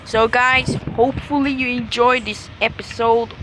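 A young person giving casual, halting gameplay commentary with repetitive phrasing as the host of a gaming video. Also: fairly even intonation, a crowd in the background. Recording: very noisy, windy rumbling microphone.

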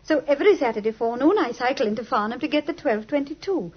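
A woman's voice: sits high, expressive, fast talker, slightly rough. An actress playing a genteel, earnest young British lady client, speaking expressively in the manner of 1950s radio drama. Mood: earnest, puzzled. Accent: British English. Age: young adult. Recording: muffled, lo-fi 1950s radio recording.

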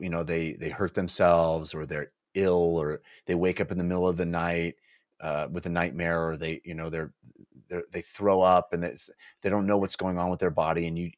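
A middle-aged man with a low-pitched voice talks casually about a book. He is a thoughtful, emphatic co-host who often breaks up his phrases as he thinks. The sound is dry and close, from a desk microphone. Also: no room sound. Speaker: podcast co-host. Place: small home room, indoors. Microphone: close desk microphone on boom arm.

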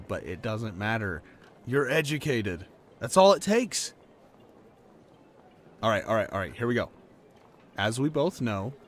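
A man's voice: lowish voice, a little hiss or hum, natural voice. A young man acting a laid-back, playful working-class boyfriend in a conversational roleplay audio drama, informal and earnest. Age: young adult.

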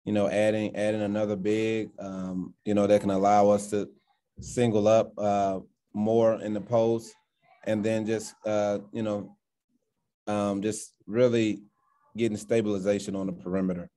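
Low, flat-voiced coach on a video call. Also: male voice. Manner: measured, halting, many fillers and restarts. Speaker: basketball coach. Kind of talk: post-game press conference answers. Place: indoors in front of a sponsor backdrop. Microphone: video call, framed at desk distance.

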